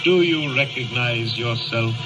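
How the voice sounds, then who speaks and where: An actor playing a stern, probing spirit guide with measured, declamatory delivery in a 1930s radio drama. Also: male voice, medium pitch, close to an everyday voice. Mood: solemn, accusing. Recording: muffled old radio, music behind.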